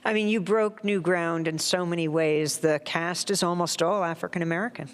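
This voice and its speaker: A woman's voice: on the low side, fairly even intonation, normal speed, in a large hall, background noise. A woman hosting a news show, asking direct, probing interview questions.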